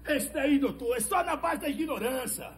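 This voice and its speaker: A man playing an eager, long-winded, deferential rural police corporal with folksy excitable delivery in a Brazilian telenovela. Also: extremely high pitch, moderate pace, shouting. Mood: scornful. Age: middle-aged. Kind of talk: 1970s telenovela comic acting. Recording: old TV soundtrack, faint noise.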